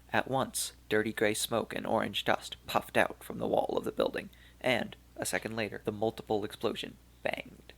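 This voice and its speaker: A man narrating a science fiction audiobook in a gravelly voice, with even, unhurried storytelling. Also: lowish voice. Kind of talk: scripted narration.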